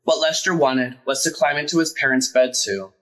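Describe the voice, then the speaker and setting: Bright-voiced man reading a children's story. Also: medium pitch, normal speed. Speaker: storyteller, drag performer. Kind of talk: children's picture book read-aloud.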